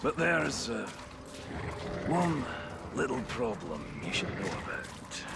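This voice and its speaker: A man's voice: mid-range voice, very animated, takes it slowly, vocal fry, heavily acted. A man playing a boisterous, wisecracking dwarf hunter in a video game, with a hearty, playful, theatrical delivery. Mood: explaining. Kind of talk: video game character acting. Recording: loud game ambience noise behind.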